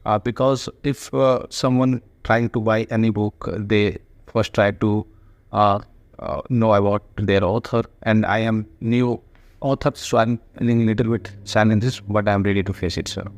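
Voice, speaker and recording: Hesitant man, low dry voice. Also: fairly even intonation, no room sound. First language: Hindi. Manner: hesitant, many pauses, politely says sir. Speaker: student and author being interviewed. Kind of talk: halting conversational answers.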